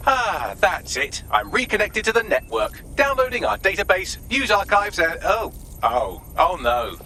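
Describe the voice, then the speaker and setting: A man's voice: sits high, big pitch swings, unhurried, pushed and bright, slight background noise, exaggerated voice. A man with a British accent voicing a sardonic, theatrical, chatty AI assistant in a video game. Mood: flippant, wry. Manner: snarky, theatrical, wry.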